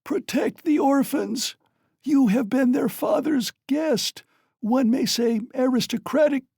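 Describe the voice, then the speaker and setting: Dying delirious mother, hoarse, shrill, dry close sound; reader doing her. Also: slow pace, warm tone, no echo, full character voice. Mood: shrieking. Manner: shrieking, frantic, wailing. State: hoarse, gasping.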